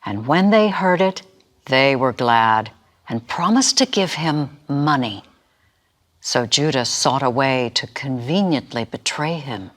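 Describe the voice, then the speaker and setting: A woman giving a solemn, deliberate dramatic reading of scripture as a voice actor. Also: a bass voice, expressive.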